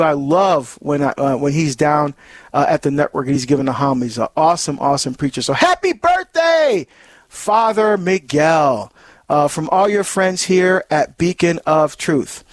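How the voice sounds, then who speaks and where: Brief: male deacon host, from Barbados, high voice, lively and exclamatory, dry studio sound. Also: no room sound. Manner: upbeat, animated, fond of exclamations. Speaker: radio show host, deacon.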